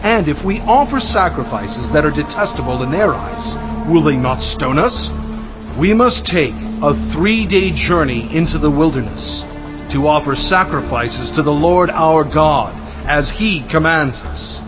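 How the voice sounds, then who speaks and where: A man's voice: fairly high pitch, expressive, a little slow. A man playing an earnest, firm prophet in a dramatized scripture recording, speaking with emphasis. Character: Moses, earnest firm male prophet negotiating. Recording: dull band-limited audio under music. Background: music.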